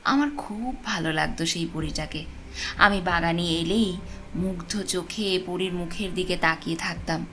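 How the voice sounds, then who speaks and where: A woman reads a literary story in a measured, expressive storytelling manner. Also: fairly low pitch, slight background noise. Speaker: audio story narrator. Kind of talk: scripted literary story narration.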